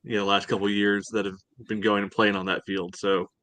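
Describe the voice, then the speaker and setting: A man co-hosting a sports podcast, giving measured, casual commentary. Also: fairly low pitch, little pitch movement, a bit bright. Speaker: podcast co-host.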